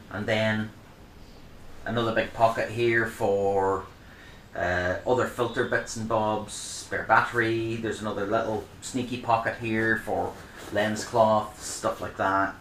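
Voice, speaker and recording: A man's voice: fairly low pitch, sharp tone, slight background noise. A middle-aged man in a casual vlog, rambling and chatty with frequent ums as he shows his camera bags. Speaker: vlogger reviewing camera bags. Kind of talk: casual show-and-tell. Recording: echoey room.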